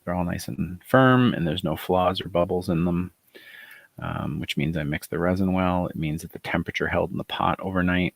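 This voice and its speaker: A middle-aged man with a soft, gravelly voice, chatting casually and rambling on a live stream while showing handmade dice. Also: low-pitched, moderate pace, no room sound. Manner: relaxed, rambling, many fillers. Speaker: author hosting a live chat.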